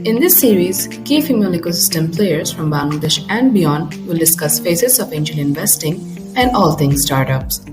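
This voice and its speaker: A woman reads a scripted welcome for a talk series, her lowish voice rising and falling in a lively way. Clear music plays behind her. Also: normal speed. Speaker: series announcer. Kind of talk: scripted introduction.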